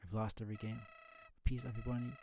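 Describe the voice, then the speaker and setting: A man voicing a bored, manipulative killer flower from a video game, reading its monologue in a deliberate, brooding, theatrical way. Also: on the low side, huge pitch swings, noisy background. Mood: cold, bragging. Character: sinister talking flower, bored, manipulative, world-weary killer. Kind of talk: video game dialogue read aloud. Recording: music behind the voice.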